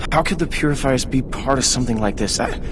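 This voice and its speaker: A man's voice: fast, lightly acted. An actor playing an earnest, idealistic, hesitant young male mutant in a video game. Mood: earnest, troubled. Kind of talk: video game cutscene acting. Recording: clear background noise and rumble.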